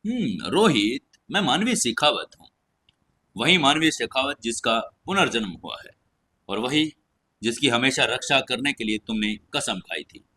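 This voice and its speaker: A man's voice: medium pitch, very animated, unhurried, bright, forceful tone, heavily acted. A man voicing a cold, commanding teenage noble girl in an audio story, with an indifferent, dismissive manner. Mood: scornful, proud. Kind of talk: audio story character dialogue.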